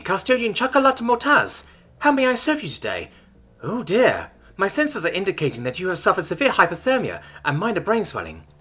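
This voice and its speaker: A man's voice: high-pitched, sharp tone, slightly rough, pitched above the performer's own voice, heavily acted. A man voicing a polite, fussing medical android with a cheerful bedside manner.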